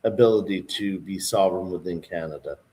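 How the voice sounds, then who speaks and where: Low-pitched man from Calgary and Vancouver, earnest, restarts often, casual political chat. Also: a little fast, dark tone. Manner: earnest, with many restarts and "you know". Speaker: livestream co-host.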